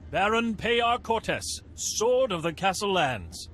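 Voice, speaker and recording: A noble court's herald in a video game. He declaims formally and with ceremony, his fairly high voice swinging widely in pitch over faint noise. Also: very animated, takes it slowly, a bit bright, heavily acted. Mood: ceremonial.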